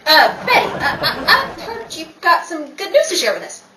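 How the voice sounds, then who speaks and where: Eager 1930s gal writer, wildly animated, woman acting. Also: very high-pitched, moderate pace, distant mic. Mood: nervous, cheery. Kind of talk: old-time radio comedy acting. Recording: echoey room, loud audience and noise.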